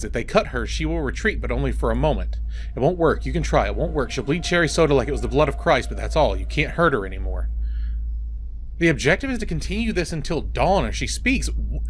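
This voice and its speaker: A man hosts a horror storytime, reading aloud with a very expressive, moving pitch. His manner is informal and prone to blunt, casual asides. There is some noise behind his voice. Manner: informal, sweary reactions breaking into the reading.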